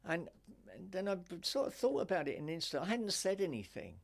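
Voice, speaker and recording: An older woman with a deep voice, a stand-up comedian telling wry anecdotes in a relaxed interview chat. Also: a little fast, gravelly. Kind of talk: casual interview chat, storytelling.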